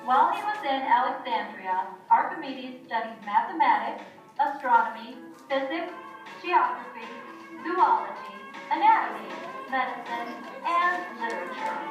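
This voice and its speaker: A woman's voice: high-pitched, lively intonation, normal speed, lo-fi sound. A woman narrator reading a scripted children's story in a storytelling style. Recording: echoey room, far from mic. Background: music.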